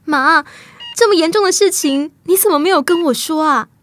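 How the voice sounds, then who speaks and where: A woman's voice: extremely high pitch, normal speed, a bit bright. A woman acting an earnest, emotional teenage immigrant girl in a reflective radio drama. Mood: distressed. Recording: music behind the voice.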